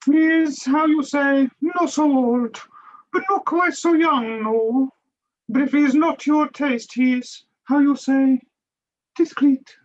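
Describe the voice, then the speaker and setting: A sly, ingratiating older madam in a theatrical play. Her voice is high and she speaks slowly, in broken English with a Spanish accent and tangled word order. The sound is dull, like a video call. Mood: sly, coaxing. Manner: halting broken English with inverted phrasing.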